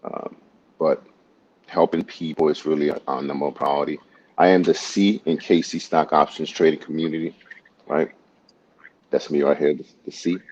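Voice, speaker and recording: A man's voice: a bass voice, steady tone, moderate pace, long pauses, darkish tone, highs cut off. A man from Honduras, a military medical officer, answering interview questions upbeat, often ending points with "right?".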